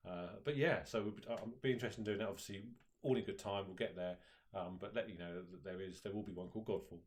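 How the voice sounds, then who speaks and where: A man's voice: fairly low pitch, quick pace. A man chatting casually on a live stream about upcoming comic projects, hesitant with many ums and restarts. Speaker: comic publisher co-host.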